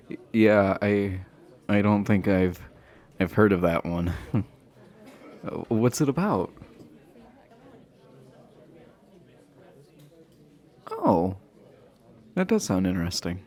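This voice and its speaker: Man playing a deep-voiced flirty himbo jock. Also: a little mellow. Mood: friendly, nervous. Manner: halting, bashful chuckling, teasing. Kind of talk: romantic roleplay audio acting, addressed to listener.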